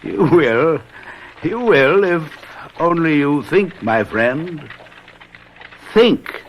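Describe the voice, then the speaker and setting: An actor playing a brilliant, self-assured detective mentor in a radio drama, teaching in a deliberate, emphatic manner. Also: male voice, on the high side, lively intonation, low-quality recording. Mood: instructive, pointed.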